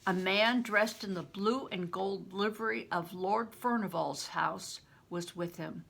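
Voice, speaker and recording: An older woman narrates a book with emphatic, deliberate expression. Her voice is bright but husky, with a touch of gravel in it. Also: medium pitch. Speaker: storybook reader, retired reading teacher. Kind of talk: expressive scripted audiobook narration.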